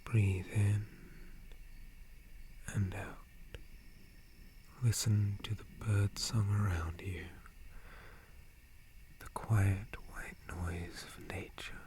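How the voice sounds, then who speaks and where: A man playing a gentle, calm, friendly dryad forest guardian in a breathy ASMR whisper, with a soothing manner. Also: on the low side, slight background noise. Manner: slow, soothing, unhurried. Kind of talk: ASMR roleplay.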